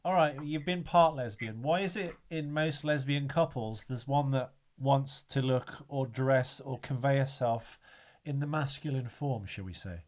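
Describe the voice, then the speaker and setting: A man's voice: mid-range voice, a little slow, a little mellow. Young Birmingham man, dry teasing podcast co-host reading trivia. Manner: dry teasing banter, reads trivia aloud. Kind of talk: casual chat.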